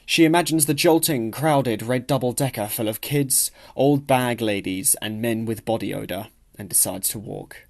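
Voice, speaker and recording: A man with a medium-pitched voice narrates literary fiction for an audiobook. His reading is expressive, and he shifts his voice to act out lines of dialogue. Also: normal speed, gravelly, hardly any pauses.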